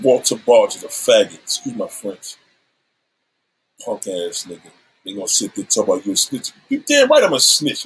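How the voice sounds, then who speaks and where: A man's voice: fairly low pitch, a bit bright, husky, poor audio. A man in his seventies ranting on a livestream, combative and profane, issuing threats and calling out rivals. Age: 70s.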